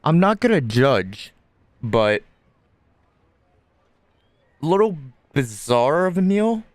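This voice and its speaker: A man's voice: on the high side, big pitch swings, slow, no room sound. Casual male gamer reacting aloud. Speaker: game streamer. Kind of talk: casual gameplay reaction commentary.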